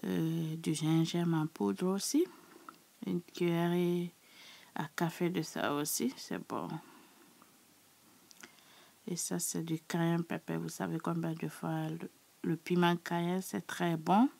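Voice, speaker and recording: A woman with a sore, hoarse throat, chatting casually to her subscribers as she walks through a recipe in her kitchen. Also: fairly low pitch, fairly even intonation, takes it slowly, long pauses, darkish tone. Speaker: cooking channel host. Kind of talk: casual cooking tutorial.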